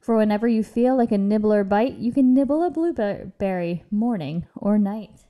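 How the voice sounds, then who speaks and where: A woman reading a children's rhyming story aloud in a measured, expressive sing-song way. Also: mid-range voice, phone-quality sound. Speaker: picture book reader.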